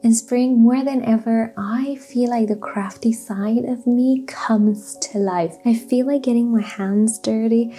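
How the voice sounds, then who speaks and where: A woman's voice: fairly high pitch, steady tone, measured pace, hardly any pauses, dark tone, faint music behind. A woman from Romania giving a chatty, personal lifestyle vlog voiceover. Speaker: lifestyle vlogger.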